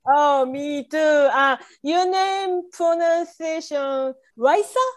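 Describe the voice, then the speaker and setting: Very high female voice, Japanese accent, slow delivery, encouraging tutor, casual phrase lesson. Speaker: guest language tutor.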